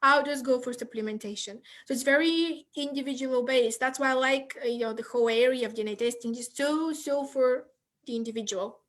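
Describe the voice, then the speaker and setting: A woman from Bulgaria, a nutritionist guest patiently explaining answers on a video call and often restarting her sentences. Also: high voice. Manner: measured, explains step by step with many restarts.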